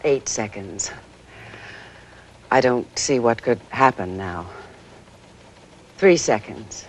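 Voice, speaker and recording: A woman's voice: rumbling low, expressive, slow pace, exaggerated voice. An actress playing a grave, careful female news co-anchor on live crisis coverage in a 1980s TV drama. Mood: grave, tense. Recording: faintly noisy 1980s TV broadcast.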